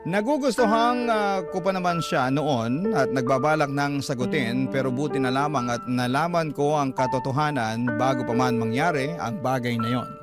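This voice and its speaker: A man reading a scripted first-person story as a radio drama narrator. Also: medium pitch. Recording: prominent music under the voice.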